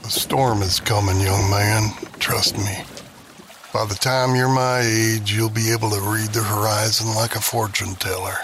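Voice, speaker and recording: A man's voice: on the low side, steady tone, unhurried, noisy background. A man playing an older villager in a fantasy game audio drama, reciting a warning in a scripted, repetitive way. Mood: ominous, scripted.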